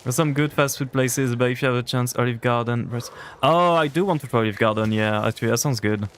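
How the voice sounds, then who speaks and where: A man's voice: medium pitch, fairly quick, slight background noise. A young man with a French accent, chatting casually with his chat while playing a game on a livestream. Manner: chatty, rambling, answers chat. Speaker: streamer.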